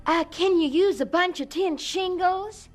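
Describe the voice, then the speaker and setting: A woman's voice: extremely high pitch, unhurried, music in the background. An actress playing a crotchety, brusque townswoman in a radio drama, querulous and abrupt. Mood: grudgingly helpful. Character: crotchety, brusque townswoman at her back door.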